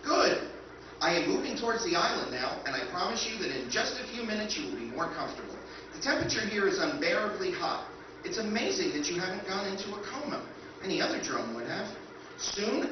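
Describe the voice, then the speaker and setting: Guy playing a calm fussy army robot in a radio play, kinda high pitched, formal, dull noisy recording. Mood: pleased, solicitous. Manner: formal, precise, solemnly earnest. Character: unflappable, polite, doting military machine tending supposed soldiers. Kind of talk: live recreation of 1950s radio science fiction acting. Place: live stage at a convention, indoors. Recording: echoey, distant, dull noisy convention hall.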